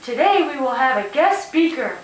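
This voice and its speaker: A woman's voice: high-pitched, very animated, moderate pace. A woman voicing a welcoming teacher puppet in a scripted classroom puppet show. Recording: roomy, hissy amateur recording.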